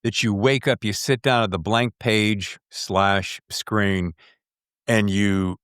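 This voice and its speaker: A man hosting a casual interview, talking in an excitable, jokey, digressive way as a fellow writer. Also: fairly low pitch, takes it slowly, clear, resonant tone, no room sound, clean studio sound. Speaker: podcast host and writer.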